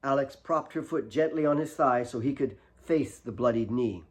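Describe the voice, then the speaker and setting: A man narrating dramatic fiction as its author, in an expressive storytelling manner. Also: mid-range voice, moderate pace, slightly rough. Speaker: author reading own fiction.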